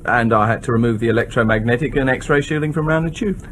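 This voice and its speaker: An actor playing a nervous, defensive submarine technician in a radio drama, halting and deferential as he protests. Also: mid-range voice, a bit bright, a little hiss or hum, natural voice. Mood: guarded. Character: nervous, defensive young male submarine technician under interrogation. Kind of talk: broadcast radio drama acting.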